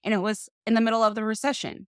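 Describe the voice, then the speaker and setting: A woman, a podcast guest telling her career story in a confident, deliberate way. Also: on the high side, little pitch movement, clean tone, no echo, studio quality. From Boston, US. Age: 30s. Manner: confident, deliberate, slows for emphasis. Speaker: podcast guest, financial coach. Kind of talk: interview storytelling.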